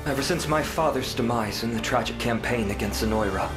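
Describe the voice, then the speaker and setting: Man acting a regal fantasy prince over loud music. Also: medium pitch, natural voice. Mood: solemn. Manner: regal, formal, deliberate wording. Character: proud, dutiful uncrowned prince rallying his kingdom. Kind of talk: dramatic fantasy game voice acting. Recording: loud orchestral music behind.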